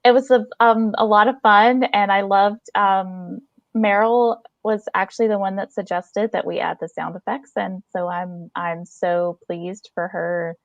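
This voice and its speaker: A woman's voice: mid-range voice, steady tone, a little slow, no echo, dull audio. A woman hosting a casual live podcast chat, speaking in an enthusiastic, complimentary way. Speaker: podcast host.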